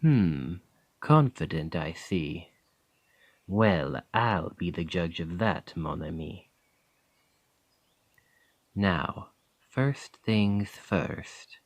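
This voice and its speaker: A wry veteran knight bodyguard. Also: male voice, low voice, animated, no room sound, exaggerated voice. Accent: French. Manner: wry, testing, measured. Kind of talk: ASMR roleplay acting.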